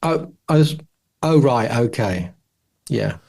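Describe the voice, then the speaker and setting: A man with a medium-pitched voice talks informally as a podcast co-host. He thinks aloud tentatively, often breaking off a sentence to put it another way. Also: lively intonation, slow pace, creaky, darkish tone, husky. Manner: tentative, musing, often reformulating mid-sentence. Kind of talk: casual podcast discussion.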